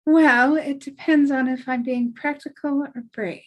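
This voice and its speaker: A woman's voice: high voice, fairly even intonation, a little slow, poor audio. A woman playing an earnest, conflicted young woman in a dramatic script table read, speaking in a heartfelt, plain-spoken way. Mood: shy, reflective.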